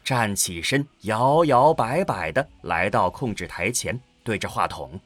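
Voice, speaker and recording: A man's voice: on the low side, lively intonation, a little slow, a bit bright. A man narrating a science fiction radio drama in a scripted, dramatic storytelling style.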